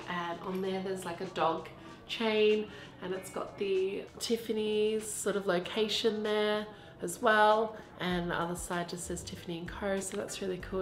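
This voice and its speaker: A croaky woman with a cold narrates her unboxing vlog in a relaxed, chatty way, gushing over every detail of the packaging. Her voice carries a roomy echo, and music plays clearly behind her. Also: medium pitch. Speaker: vlogger. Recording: roomy sound with background music.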